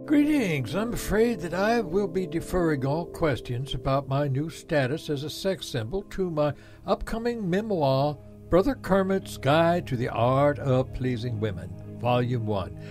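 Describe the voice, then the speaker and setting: A man's voice: a little high, expressive, measured pace, a little mellow, faint music behind. A man playing a grumbling, bookish backwoods preacher in an audio drama, with pompous, folksy oratory. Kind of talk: audio drama panel debate.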